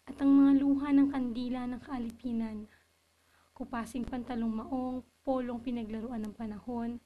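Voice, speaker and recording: A woman reciting literary poetry in a solemn manner with measured phrasing. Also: a little high, background noise. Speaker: poetry reader.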